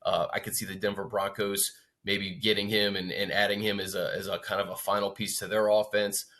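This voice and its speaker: A man's voice: on the low side, flat delivery, fairly quick, fairly bright tone. A man hosting a sports show, discussing and asking questions in a casual, conversational style.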